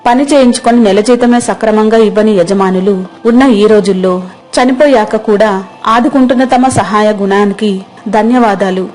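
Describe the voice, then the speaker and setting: Woman acting a humble village mother, medium pitch. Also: normal speed, darkish tone, faint music behind, close to an everyday voice. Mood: grateful, pleading. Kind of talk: acted Telugu moral story.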